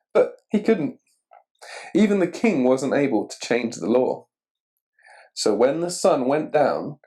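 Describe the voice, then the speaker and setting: A man reading a children's picture book aloud expressively and deliberately, putting questions to the listener. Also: mid-range voice, a little mellow. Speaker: story reader.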